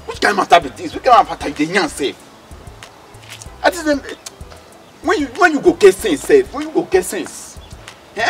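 A man's voice: sits high, wildly swooping pitch, normal speed, long pauses, soft background music. A man playing a hot-tempered, scolding friend in a drama, with a Nigerian accent and a blustering, streetwise manner. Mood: angry, scolding. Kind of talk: comedy drama acting in Nigerian Pidgin.

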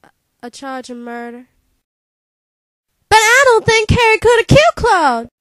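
A woman's voice: extremely high pitch, sing-song, a little slow, fairly bright tone, dry recording, heavily acted. A woman voicing a worried young woman with a Southern US accent in a parody cartoon dub. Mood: anxious pleading. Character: worried young woman pleading for her brother.

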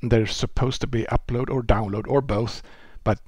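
A man in his forties with a Swedish accent, giving a relaxed technical lecture over a headset mic, often restarting and filling with "uh" and "you know". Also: medium pitch, moderate pace, dry recording. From Sweden. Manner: relaxed explaining, with frequent restarts and fillers. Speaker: presenter. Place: indoor room.

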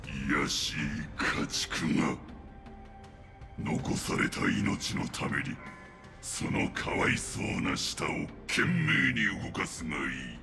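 Very deep, gravelly monstrous warlord. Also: male voice, huge pitch swings, pushed and bright, soft background music, exaggerated voice. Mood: scornful, menacing. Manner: menacing, slow, contemptuous delivery. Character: monstrous male warlord captive, savage, vengeful, contemptuous. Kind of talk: dramatic game voice acting.

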